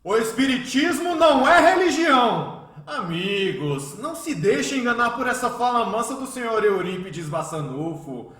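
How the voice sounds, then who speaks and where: A zealous, scornful priest of a radio play. His voice is very high and swings widely as he declaims with frenzied emphasis. The sound is roomy and echoing. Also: animated, normal speed, fairly bright tone. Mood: scornful, preaching. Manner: frenetic, oratorical, emphatic repetition. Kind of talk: radio drama acting.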